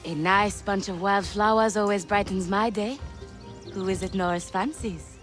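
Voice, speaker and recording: A friendly female settler voiced by an actress in a video game, plain-spoken and helpful. Her voice is average in pitch for a woman, with music playing behind it. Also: steady tone, moderate pace, close to an everyday voice.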